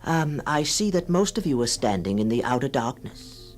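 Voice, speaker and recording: An actor playing a sly séance host introducing a radio horror anthology, hushed, sinister and teasing, with hesitant phrasing. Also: male voice, medium pitch, sing-song, normal speed, close to an everyday voice. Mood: sly, inviting. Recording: 1960s radio drama with faint music.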